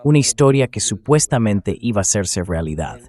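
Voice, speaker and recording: A man's voice sits a little on the low side. It is a synthetic dubbing voice, used for a host giving spoken political commentary in translation. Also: very animated, normal speed, a little mellow.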